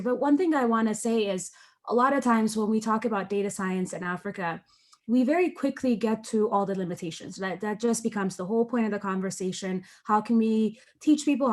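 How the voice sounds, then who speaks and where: A woman's voice: mid-range voice, a little fast, a little mellow. A woman from Ethiopia, a researcher speaking as a panelist in an online discussion over a video call, earnest and conversational, often checking in with "right?".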